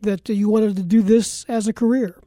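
A man's voice: high voice, steady tone, moderate pace, dark tone, no echo. A man hosting a sports talk radio show in a conversational style.

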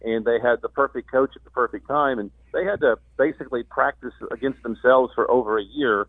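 A man talking as a guest sportscaster on a sports talk radio show, explaining things in a halting way with repeated words. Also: medium pitch, dry recording. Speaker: radio play-by-play announcer as guest. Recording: thin phone-like line.

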